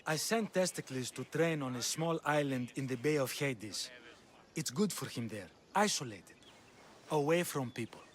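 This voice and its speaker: An actor playing a wry, proud trainer of champion fighters in a game, with a dry, storytelling manner. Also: male voice, mid-range voice, animated, moderate pace, natural voice. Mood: wry, informative. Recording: clearly audible ambient background noise.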